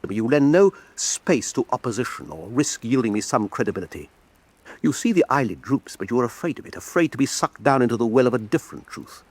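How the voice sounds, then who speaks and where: Man acting a dignified, sensitive, expressive admiral. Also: medium pitch, natural voice. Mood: earnest. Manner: measured, formal, wounded politeness. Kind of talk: full-cast historical radio drama acting.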